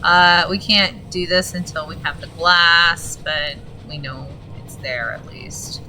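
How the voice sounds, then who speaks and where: A woman streaming a game, chatting casually with viewers and reading game text aloud; her voice is gravelly and she swears freely. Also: fairly low pitch, fairly even intonation, takes it slowly, sharp tone, faint music behind, poor audio. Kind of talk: casual gaming stream commentary.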